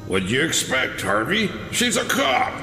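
An actor playing an older police commissioner held hostage, cutting and contemptuous, speaking slowly and deliberately. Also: male voice, fairly high pitch, wildly swooping pitch, gravelly, over music, exaggerated voice. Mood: scornful. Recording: echoey room with long reverb.

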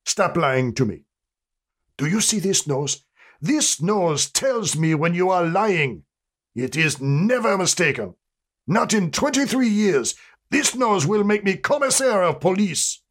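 A man playing a pompous, theatrically menacing French police inspector in a radio drama, with deliberate delivery and a French accent. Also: high-pitched, lively intonation. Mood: pompous, accusing. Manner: pompous, deliberate, mock-ominous.

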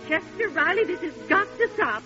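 Exasperated housewife, animated pitch. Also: female voice, moderate pace, over music. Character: exasperated, dry-witted middle-aged housewife. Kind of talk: 1940s radio comedy acting. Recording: muffled old radio recording.

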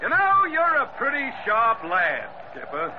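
A folksy, teasing American ship's mate in a vintage radio adventure. His voice is high for a man and bounces around in pitch. The old recording is very noisy. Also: wildly swooping pitch, a little slow, dull audio, exaggerated voice. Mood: admiring, teasing. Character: gruffly friendly, teasing sailor, skipper's loyal mate. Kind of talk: 1940s radio adventure acting.

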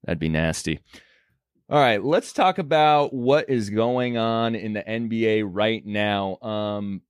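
A young man hosting a podcast, telling a story in a relaxed, joking way. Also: lowish voice, slow pace, clean tone, dry recording, studio quality. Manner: laid-back, joking storyteller. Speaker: podcast host. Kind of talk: casual chat.

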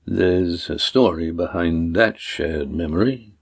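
A man voicing a calm, quiet male sailor. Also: low voice, measured pace, gravelly, exaggerated voice.

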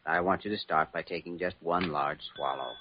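An actor playing an earnest, calm, courteous young doctor in old-time radio drama style, measured and clinical. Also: male voice, low voice, natural voice. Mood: reassuring. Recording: dull, faintly noisy old radio recording.

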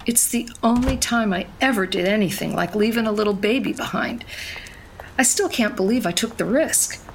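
Actress doing regretful young mom narrating a fiction podcast, reflective n measured, bit of background noise. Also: female voice, medium pitch, gravelly, lightly acted, poor audio. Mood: somber, reflective. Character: wistful, regretful wife and young mother recounting her past. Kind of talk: narrated audio fiction, confessional storytelling.

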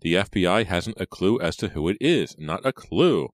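Spoiled rich teen girl played by a man, irritated and self-absorbed, lifted pitch, dry sound. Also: expressive, moderate pace, no echo. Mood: unconvincing, dismissive.

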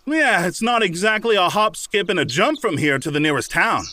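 An actor playing an excitable, quippy male forest adventurer, theatrical and wry, in a comedic fantasy audio drama. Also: high voice, normal speed, a little hiss or hum.

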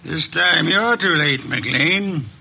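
A man's voice: on the high side, lively intonation, slow pace, vocal fry, sharp tone, a little hiss or hum, exaggerated voice, rough recording. An actor playing a shrewd, formal male detective who speaks in a precise, commanding way, in 1940s radio style.

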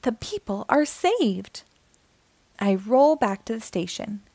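A woman reads a children's story in a high, expressive voice. Her storytelling is dramatic and animated, with an unhurried pace suited to young listeners. The recording is dry and close. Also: slightly rough, no echo. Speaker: storybook reader, host. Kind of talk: expressive children's read-aloud narration.

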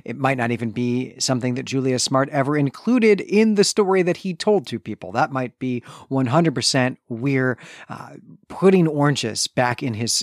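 Man, average pitch, dry close sound, book podcast co-host, conversational recap and analysis, explanatory, winding sentences. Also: dry recording. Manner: explanatory, thinks aloud in long winding sentences. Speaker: co-host of literary discussion podcast.

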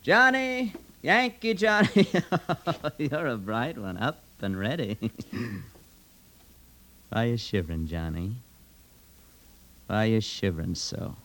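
An actor playing a menacing, cruel young guerrilla fighter with rural American speech, in old-time radio drama style. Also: male voice, mid-range voice, very animated, slow, long pauses, pushed and bright, slight background noise, full character voice. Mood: mocking, sinister. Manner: rural American dialect, taunting. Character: menacing young male Confederate guerrilla killer, taunting and cruel. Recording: vintage radio drama recording.

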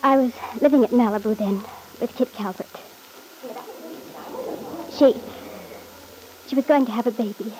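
An actress in a classic radio soap opera playing a fragile, lonely wife testifying hesitantly, on a noisy old recording. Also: female voice, on the high side, mellow, close to an everyday voice. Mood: strained, subdued. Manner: hesitant, halting.

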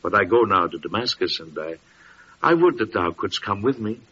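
An actor playing a courteous, weary Persian nobleman and seeker in a classic radio drama, earnest and solemn with archaic, sometimes halting speech. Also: lowish voice, lively intonation, sharp tone, slightly rough, full character voice. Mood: tender, wistful. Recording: dull, noisy old radio recording.

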